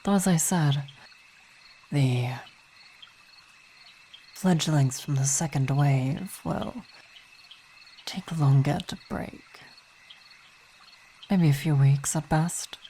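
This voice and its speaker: A dark, mellow-voiced vampire wife played by a woman in an intimate ASMR roleplay, weary and imperious but tender. She speaks in formal, hesitant phrases at an unhurried pace, with night insects chirping behind her. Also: sits low, a little hiss or hum. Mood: proud, composed. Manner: measured, hesitant, formal wording.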